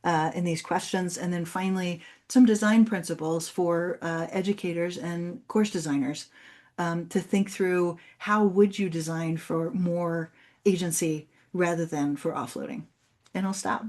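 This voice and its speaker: Woman academic giving a talk, spanish is her first language, lowish voice, explains things articulately. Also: fairly even intonation, measured pace, a little mellow. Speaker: university presenter. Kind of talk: conference presentation and Q&A.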